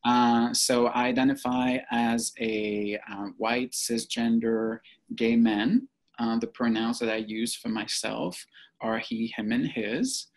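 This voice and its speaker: Creaky-voiced man. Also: mid-range voice, steady tone, takes it slowly, rough recording. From French-speaking Belgium. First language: French. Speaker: podcast guest, psychotherapist. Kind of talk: reflective interview answers.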